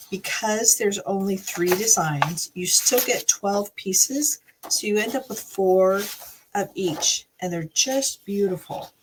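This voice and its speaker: A woman hosting a craft tutorial, recovering from a cold, friendly and chatty with coughs and throat-clearing. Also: lowish voice, slight background noise, poor audio. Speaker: card-making channel host.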